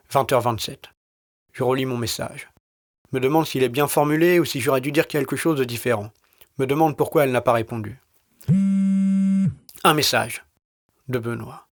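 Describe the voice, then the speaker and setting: A man with a medium-pitched voice reads a scripted first-person story. His delivery is terse and weary, with a wry, colloquial edge. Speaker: narrator and author of an audio short story.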